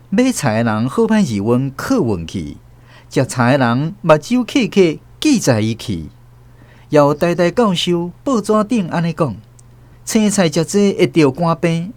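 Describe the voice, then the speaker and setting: A man narrating a radio drama as host, with expressive storytelling. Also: a little high, no echo.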